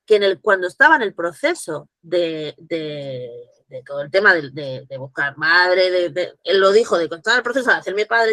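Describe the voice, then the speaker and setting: A woman, speaking as guest at a virtual conference, animated and full of restarts. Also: mid-range voice, dry recording. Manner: animated, acts out quoted dialogue, frequent restarts. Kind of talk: virtual conference talk, answering questions. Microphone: video call.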